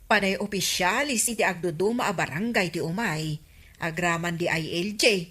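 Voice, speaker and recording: Bright-voiced Ilocano village aide. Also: female voice, fairly low pitch, moderate pace, husky. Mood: inquiring. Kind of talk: Filipino radio comedy drama acting.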